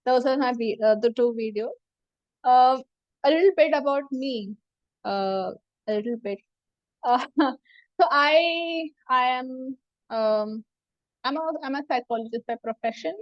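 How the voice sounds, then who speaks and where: A woman's voice: high voice, measured pace, long pauses, no echo. Hesitant woman, dull lo-fi sound. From India. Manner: hesitant, many fillers and restarts. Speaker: psychologist presenting about herself. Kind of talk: casual self-introduction talk.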